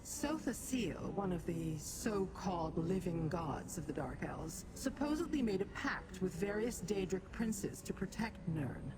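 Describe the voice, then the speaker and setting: A woman voicing a haughty, calculating demon sorceress in a fantasy video game, formal and condescending in her measured delivery. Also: lowish voice, very animated, noisy background. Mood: grave, explanatory.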